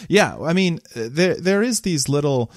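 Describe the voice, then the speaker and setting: A man's voice: fairly high pitch, lively intonation, slow, darkish tone, no room sound. A man co-hosting a podcast, chatting casually and laughing as he rambles through his thoughts. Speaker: podcast co-host. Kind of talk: casual chat. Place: home basement.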